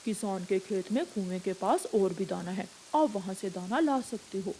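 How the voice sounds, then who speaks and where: A woman's voice: mid-range voice, moderate pace, mellow, slight background noise, pitched below the performer's own voice, lightly acted. A woman voicing a helpful, generous male bird in a cartoon, with a kindly, practical manner.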